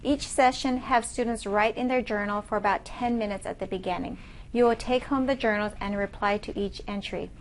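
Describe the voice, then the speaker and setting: Female professor, mid-pitched, narrating slides in a steady teaching voice, faint hiss behind. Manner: reads slides aloud, explanatory, measured. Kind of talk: recorded lecture narration over slides.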